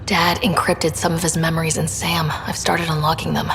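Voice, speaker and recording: A woman's voice: sits low, level tone, normal speed, fairly bright tone. A woman playing an earnest, caring young explorer in a sci-fi video game, talking in a subdued, conversational way. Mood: confiding. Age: young adult. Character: earnest, caring young female space explorer, twin sister. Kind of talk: naturalistic video game dialogue acting. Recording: reverberant room, faint ambient noise.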